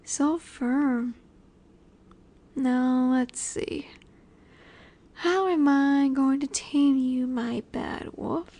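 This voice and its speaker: A woman acting as a cocky female werewolf alpha in a flirty audio roleplay. Her voice is high and she takes her time over each phrase. She taunts and teases, sure that she is in charge. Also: fairly even intonation, drawn-out words, pauses often, mellow, exaggerated voice. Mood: seductive, teasing. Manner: teasing, taunting, confident.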